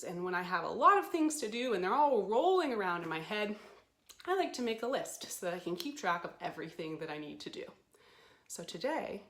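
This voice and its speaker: A woman hosting a storytime for young children, talking to them in an animated way with simple questions and encouragement. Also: mid-range voice. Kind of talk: children's storytime presenting to camera. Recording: roomy, echoey sound.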